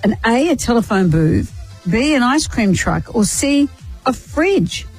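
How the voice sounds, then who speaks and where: A woman's voice: on the high side, very animated, a little slow, slightly rough, faint music behind. A woman co-hosting drive-time radio, reading quiz questions with dry wisecracks. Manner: dry, wisecracking, game-show quizmaster. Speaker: radio co-host. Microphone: studio microphone.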